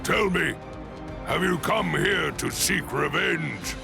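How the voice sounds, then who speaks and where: Very gravelly, stern male captain. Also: on the high side, expressive, slow, exaggerated voice. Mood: grave, questioning. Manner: terse, formal, grave. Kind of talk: anime game cutscene dialogue. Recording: dialogue over clear background music.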